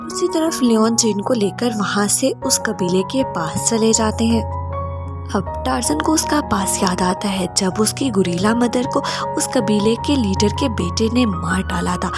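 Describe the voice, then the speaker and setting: Woman narrating a film recap over music. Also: mid-range voice. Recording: voice-over with loud background music.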